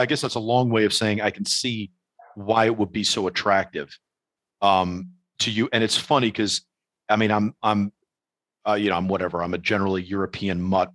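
Low-voiced, animated New York man. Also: dry recording. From New York City. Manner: animated storyteller, swears freely. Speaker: podcast host. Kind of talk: casual conversation.

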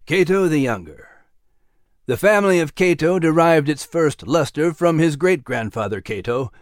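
A man voicing a gentle, grave male scholar of the ancient world, measured and reflective, in an audio story. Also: fairly high pitch, no echo, clean studio sound, natural voice.

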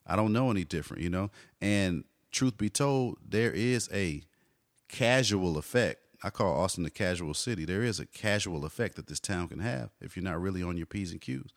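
A man in his forties, a hip hop artist telling stories in a relaxed interview, dropping "you know" often. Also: low-pitched, moderate pace, no room sound, studio quality. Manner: laid-back storyteller, frequent "you know". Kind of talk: casual conversational interview.

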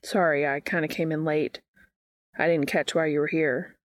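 A woman's voice: low voice, little pitch movement, normal speed, dry recording, clean studio sound, voice pushed down, lightly acted. A woman voicing an awkward, blunt, sheepish man with hesitant, slangy speech in a read-aloud story. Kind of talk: fan fiction character dialogue.

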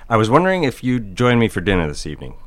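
A man playing a charming, eager gentleman suitor in an audio drama, gallant and a little bashful in old-fashioned phrasing. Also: on the low side, very animated, racing. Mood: bashful, hopeful. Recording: music under the voice. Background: music.

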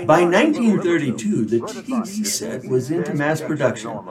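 Man playing a jovial, higher-voiced know-it-all ghost. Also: big pitch swings, normal speed, a crowd in the background. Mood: smug, explaining. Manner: wordy, explanatory, showy. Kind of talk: audio drama dialogue.

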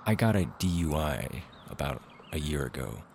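Man as very deep-voiced, earnest inmate. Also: big pitch swings, moderate pace, gravelly, darkish tone, slight background noise, full character voice. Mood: matter-of-fact. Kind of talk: comedy audio drama acting.

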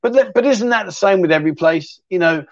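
A man's voice: sits high, expressive, fast talker, no echo, highs cut off. Chatty man hosting a livestream. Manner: chatty, rambling, matey. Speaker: livestream chat show host. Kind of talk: casual livestream chat reading viewer questions.

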